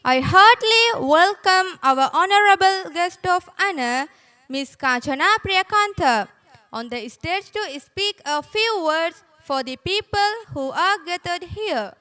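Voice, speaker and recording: A woman's voice: extremely high pitch, lively intonation, pushed and bright. A woman speaking as master of ceremonies, formally introducing the guest of honor. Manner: formal and deliberate. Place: ceremony hall. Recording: echoey hall.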